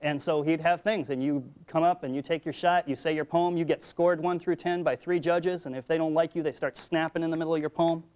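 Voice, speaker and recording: Talkative man with a higher-pitched voice. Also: steady tone, brisk, hardly any pauses, darkish tone, clean tone. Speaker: guest haiku poet and slam champion. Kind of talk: casual chat with poem recitation.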